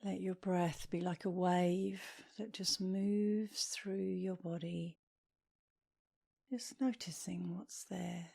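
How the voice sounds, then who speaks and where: A woman leading a guided meditation as an instructor, speaking softly and soothingly, with gentle, breathy phrase endings. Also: on the low side, fairly even intonation. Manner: soothing, gentle, unhurried with long pauses.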